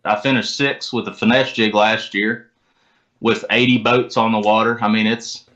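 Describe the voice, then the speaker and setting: Bright low American man, muffled lo-fi audio. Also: level tone, normal speed. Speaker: interview guest, competitive angler. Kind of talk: casual interview talk. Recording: dull, lo-fi call audio.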